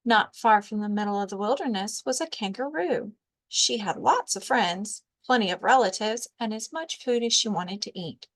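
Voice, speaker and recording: A woman reading a children's storybook aloud as a librarian storyteller, with deliberate, expressive narration. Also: medium pitch.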